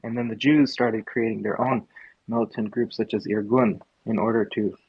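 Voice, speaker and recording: Over a dull, low-fidelity mic with a dry, close sound, a young American man from Indiana speaks earnestly and emphatically. He often pauses on filler ums while he builds his points. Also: fairly even intonation, breathy edge, dry recording. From Indiana, USA. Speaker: speaker in a live audio space. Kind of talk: impassioned political commentary.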